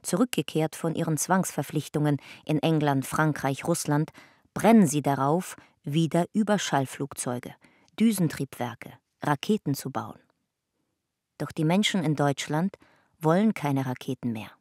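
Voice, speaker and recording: A woman narrating a radio drama in a measured, clipped documentary style. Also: low voice, lively intonation, a little mellow, no room sound, clean studio sound. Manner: clipped, measured, reporting in fragments. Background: music.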